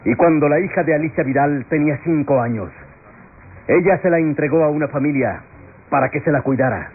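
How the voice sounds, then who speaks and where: An actor playing an earnest defense lawyer pleading to a jury in impassioned, rhetorical radio drama style. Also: male voice, on the high side, fairly quick, breathy edge. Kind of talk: classic radio drama courtroom oratory. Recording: tinny, noisy old radio recording.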